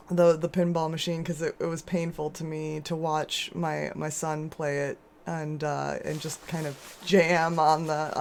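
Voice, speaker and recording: Hoarse scratchy woman streamer, sore throat, rambly and chatty with lots of restarts. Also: a little mellow. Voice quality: lower and scratchier than usual. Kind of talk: casual chat while gaming.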